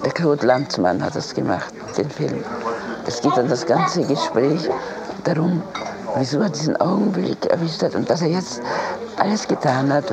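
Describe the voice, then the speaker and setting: Woman, very low register, unscripted halting storyteller, busy crowd noise in the background. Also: low-quality recording.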